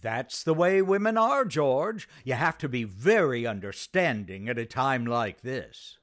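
An actor playing an amused best pal who hands out advice in an old-time radio sitcom. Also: male voice, mid-range voice, big pitch swings, measured pace, fairly bright tone. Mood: amused, advising.

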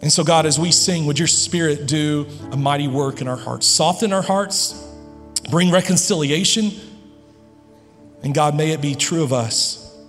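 A man preaching a sermon to his congregation, earnest and emphatic, with dramatic pauses. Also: fairly high pitch, big-room sound, faint music behind. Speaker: pastor. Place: large church hall.

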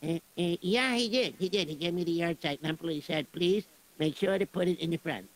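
A man's voice: fairly high pitch, normal speed, lightly acted. An actor playing a bumbling male servant who stammers and fumbles for words.

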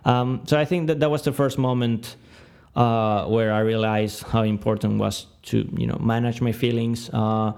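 A man's voice: medium pitch, fairly even intonation, moderate pace, creaky, darkish tone, clear, resonant tone. A man of twenty-nine from Argentina with a Spanish-speaking accent, giving reflective interview answers and often searching for words. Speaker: podcast guest.

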